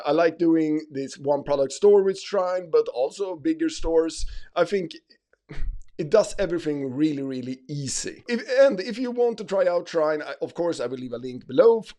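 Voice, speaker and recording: Male host, slightly higher pitch, halting walkthrough. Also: a little mellow. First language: not English. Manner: halting, many fillers and restarts. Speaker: ecommerce channel host. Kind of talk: screen-share tutorial.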